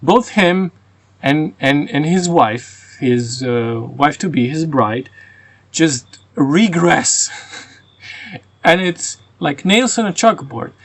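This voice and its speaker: A man's voice: fairly high pitch, lively intonation, slow. A man with a Croatian and Russian accent, reviewing a book casually and venting his frustrations, with fillers and restarts. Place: rural home.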